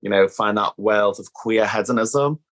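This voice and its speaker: A woman's voice: very deep voice, moderate pace. A woman from Liverpool with a thick Scouse accent, talking candidly and casually as an interview guest. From Liverpool, England. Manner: candid, peppers speech with "like". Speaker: podcast guest, activist. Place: at home.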